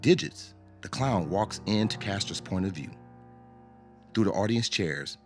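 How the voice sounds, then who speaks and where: A man narrating a scripted radio drama as a storyteller, in a measured, dramatic way. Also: low voice, animated, over music.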